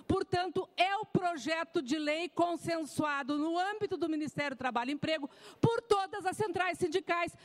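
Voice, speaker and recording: Woman, 60s, very high voice, emphatic, formal hearing speech, podium mic, large room. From southern Brazil. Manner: emphatic, gesturing with both hands. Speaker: panelist at a public hearing. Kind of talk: formal public address. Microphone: podium microphone.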